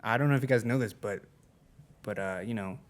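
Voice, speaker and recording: A man's voice: fairly low pitch, brisk. Young man ranting jokily on a podcast. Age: young adult. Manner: joking, mock-ranting. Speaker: podcast co-host. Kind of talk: casual chat. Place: small studio stage with curtain backdrop. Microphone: handheld mic.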